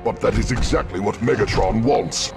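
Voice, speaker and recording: Casting: loyal robot weapons officer, game. Very low, gruff, clipped soldier talk under loud score. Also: male voice, normal speed, music in the background. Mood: wary, dutiful. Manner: terse, military. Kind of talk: video game cutscene voice acting.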